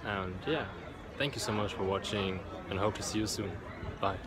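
A young man talking casually to camera as a travel vlogger, relaxed and rambling with hesitant restarts, filming himself on a phone held at arm's length in a large, busy bus station. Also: fairly low pitch, normal speed, a loud crowd, very noisy. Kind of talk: casual vlog talk to camera.

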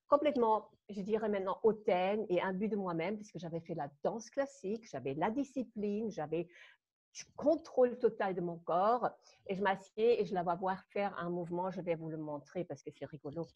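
A woman in her fifties from Germany, speaking with a German accent, tells her story as a guest on a video call. She talks in an animated way, hesitates often and laughs between phrases. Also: mid-range voice. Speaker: guest dance teacher. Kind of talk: personal storytelling on a live call. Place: home room. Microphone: webcam on a video call. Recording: muffled video call audio.

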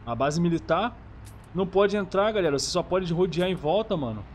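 Brazilian man commenting gameplay, slightly high voice. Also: expressive, brisk, slight background noise. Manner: enthusiastic, slangy. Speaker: streamer, host of the channel. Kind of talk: casual gameplay commentary in slang. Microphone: gaming headset.

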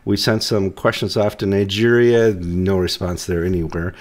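A middle-aged man hosts a casual news chat from home over a video call. He jokes and keeps things moving, and his voice runs deep while he gets over a cold. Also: moderate pace. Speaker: host.

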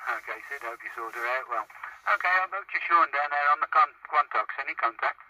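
A man's voice: medium pitch, lively intonation, quick pace. A man making casual CB operator chat, heard through a two-way radio. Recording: faintly noisy CB radio transmission.

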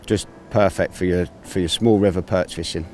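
Relaxed low-voiced man explaining fishing gear. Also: normal speed, a little hiss or hum. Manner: relaxed, explains gear step by step with many fillers. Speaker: angling presenter. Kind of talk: outdoor fishing tutorial. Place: grassy riverbank outdoors.

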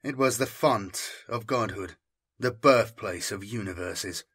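A man narrating a dark science fiction audiobook in a dramatic, theatrical and ominous manner. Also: on the low side, a little slow, husky.

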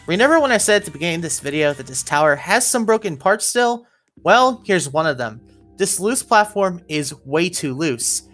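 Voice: medium pitch, a bit bright. A narrator giving scripted, step-by-step instructional commentary for a video game walkthrough. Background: background music.

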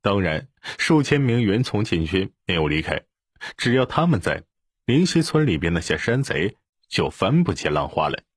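Male audiobook narrator: low register, lively dramatic delivery, close dry recording. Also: moderate pace, husky, no room sound. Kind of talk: scripted dramatic story narration.

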